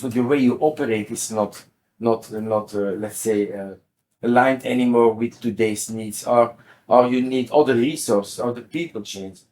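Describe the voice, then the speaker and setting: Male executive guest, 60s, Belgian accent, measured explanatory delivery, mid-range pitch. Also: a little mellow. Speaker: interview guest, company CEO. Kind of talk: explanatory interview conversation.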